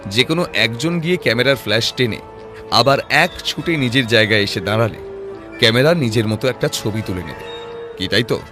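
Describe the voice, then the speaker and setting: A man acting as a sharp-witted private detective with a mid-range voice, measured and composed. He lays out his reasoning patiently and probes with rhetorical questions, in an audio drama with music underneath. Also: mid-range voice, animated, close to an everyday voice. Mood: calm, explaining. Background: background music.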